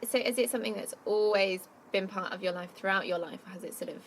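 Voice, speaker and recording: A woman hosts a casual podcast, putting questions to her guest and chatting about herself. She talks loosely, with plenty of "like" as filler, at a middle pitch. Faint noise sits under her voice. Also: fast talker. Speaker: podcast host.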